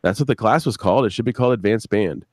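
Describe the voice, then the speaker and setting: A middle-aged man telling stories casually as a podcast guest, in a halting, rambling way with crude swearing. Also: on the low side, darkish tone, dry recording, studio quality. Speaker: podcast creator, interview guest. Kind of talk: casual live-stream chat, storytelling. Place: video live stream with a banner behind.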